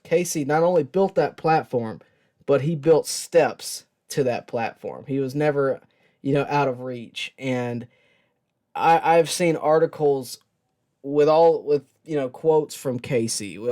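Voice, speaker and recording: Male sports host, medium pitch, soft-edged mellow voice; reflective, sincere tribute delivery with thoughtful pauses. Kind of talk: personal tribute talk to camera.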